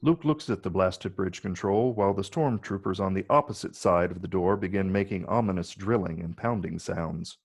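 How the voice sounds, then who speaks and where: Male narrator for a script reading: measured, descriptive, husky with a creaky low edge. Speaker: narrator reading stage directions. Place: virtual read, recorded remotely.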